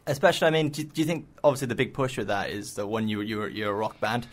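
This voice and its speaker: Fast, eager young radio host. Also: male voice, on the low side. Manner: eager, stumbles over words. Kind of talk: casual radio interview. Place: radio studio.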